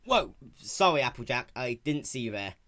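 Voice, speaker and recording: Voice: mid-range voice, big pitch swings, moderate pace, sharp tone. A performer voicing a casual, apologetic young boy dragon with a youthful, slightly whiny manner.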